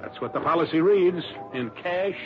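A man's voice: fairly high pitch, lively intonation, moderate pace, music in the background, close to an everyday voice. An actor playing a grumbling, exasperated insurance man in a 1960s radio drama. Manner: grumbling, insistent. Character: fretful, exasperated insurance company man, male. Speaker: insurance official in a detective drama. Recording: muffled vintage radio broadcast recording.

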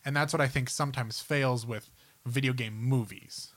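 A man's voice: mid-range voice, fairly even intonation, moderate pace, fairly bright tone, no echo. A man co-hosting a podcast in casual, enthusiastic, rambling conversation, peppering his talk with "like.". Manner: enthusiastic, rambling, heavy use of "like". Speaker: podcast co-host. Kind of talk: casual chat about movies and games.

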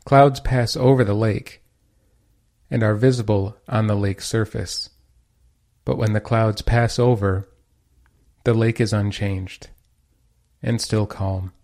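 A man leading a guided meditation with calm, measured instructions and pauses between phrases. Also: on the low side.

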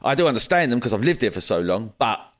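A man co-hosting a casual podcast, talking things through thoughtfully and pausing to search for words. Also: medium pitch, big pitch swings, a bit bright. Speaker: podcast co-host. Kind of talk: casual chat.

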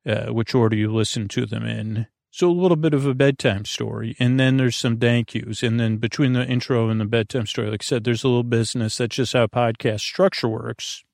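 A man's voice: mid-range voice, steady tone, brisk, gravelly, few pauses, darkish tone, no room sound, clean studio sound. A man hosting a bedtime podcast in a meandering, hesitant, whimsical monologue, often breaking off his sentences and wandering off topic. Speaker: host of a bedtime sleep podcast. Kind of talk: rambling, off-topic monologue to the listener.

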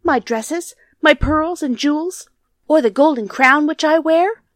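A woman's voice: high-pitched, unhurried, no echo, studio quality. A woman voicing a spoiled, haughty young storybook princess in a dramatic, girlish, formal manner. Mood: eager offering. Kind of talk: storybook character voice.

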